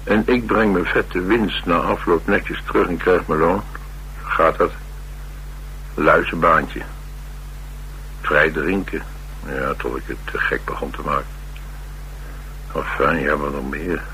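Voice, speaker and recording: A very deep-voiced, laconic drifter. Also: male voice, steady tone, takes it slowly, long pauses, pushed and bright, full character voice, low-quality recording. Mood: wry, nonchalant. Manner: terse, dry, nonchalant, slangy. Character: rough, laconic drifter, ex-mechanic and hard drinker. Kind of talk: naturalistic Dutch radio drama acting. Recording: radio recording with background hiss and hum.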